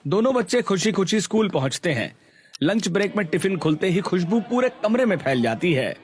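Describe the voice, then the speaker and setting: A man's voice: sits high, very animated, brisk. A man giving scripted narration for a family story in storybook style.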